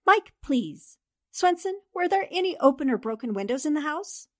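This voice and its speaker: A woman playing a sharp, commanding newspaper columnist who questions briskly in a 1940s-style radio drama. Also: sits high, big pitch swings. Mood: insistent.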